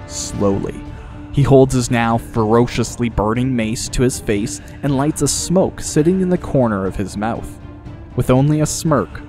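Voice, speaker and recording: A man's voice: medium pitch, a little mellow. A man reading scripted fantasy narration as an audiobook narrator.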